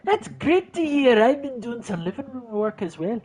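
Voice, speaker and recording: A man's voice: very high voice, sing-song, moderate pace, darkish tone, pitched above the performer's own voice. A man playing a chummy, boastful suburban dad in a visual novel game, folksy and over-friendly. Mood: hearty, boastful.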